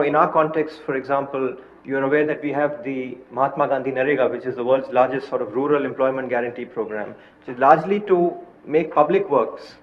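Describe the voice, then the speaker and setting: Man answering on a conference panel. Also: medium pitch. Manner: measured, explanatory. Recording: dull conference audio.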